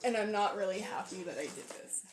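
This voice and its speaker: A hoarse, tired-sounding woman hosting a casual livestream, rambling and thinking aloud as she sets up. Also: mid-range voice, a little fast, heavy background noise. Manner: rambling, self-deprecating, thinks aloud while sorting pieces. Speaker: livestream host. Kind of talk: casual livestream chat while setting up a build.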